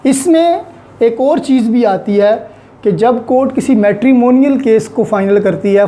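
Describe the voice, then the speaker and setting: Slow-speaking Pakistani woman lecturer, mellow voice. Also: mid-range voice. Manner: didactic, repeats key points. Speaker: law lecturer. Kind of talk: explanatory lecture with examples, switching between two languages.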